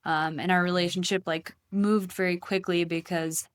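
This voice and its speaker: A woman's voice: on the low side, steady tone, normal speed, clean tone, no room sound, clean studio sound. A woman in her thirties from Costa Rica, a guest on a podcast, telling her story reflectively with plenty of fillers. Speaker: podcast guest, healing coach. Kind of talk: casual interview talk.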